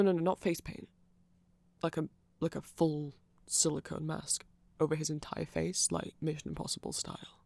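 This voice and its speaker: A performer voicing a nerdy vampire in a casual ASMR roleplay, explaining things in a hesitant, digressive, conversational way with a creaky voice. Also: mid-range voice, darkish tone, no echo. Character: nerdy rambling vampire, eager fan explaining band lore.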